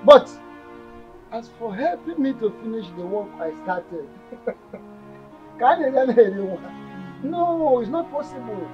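A proud, unyielding strongman in a Nigerian village drama. His Igbo-accented delivery is deliberate and declamatory, full of self-assurance. Music runs faintly underneath. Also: extremely high pitch, expressive, lo-fi sound, full character voice. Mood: coolly defiant.

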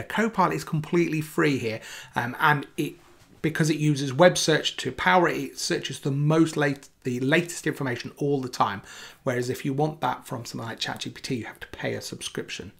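A man in his forties giving a casual software demo as a video presenter, in a conversational, emphatic way. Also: medium pitch, creaky.

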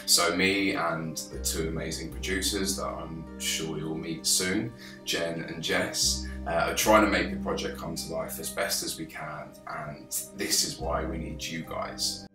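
A young man from England in his twenties, pitching his film to camera with eager gestures and frequent restarts. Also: level tone, unhurried, far from the mic. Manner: enthusiastic, gestures with his hands, many restarts. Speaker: film director pitching a crowdfunding campaign. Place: small office, indoors. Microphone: camera a few feet away. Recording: roomy office sound with background music.

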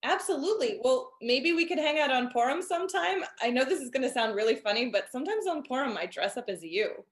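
A woman's voice: sits high, animated, quick pace, few pauses, reverberant. A woman in her thirties having a friendly, lightly scripted chat for children over a video call. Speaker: children's author. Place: indoor video call, small room.

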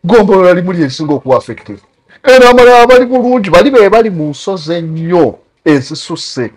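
A man's voice: high voice, big pitch swings, fast talker, breathy edge. A man with a Ugandan accent giving critical talk show commentary, mixing English terms into his speech.

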